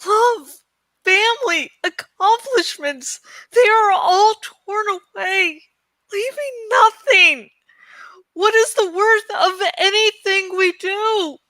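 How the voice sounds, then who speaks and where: Woman voicing an anguished, high-pitched grieving boy. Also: pushed and bright, no room sound, heavily acted, poor audio. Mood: anguished, despairing.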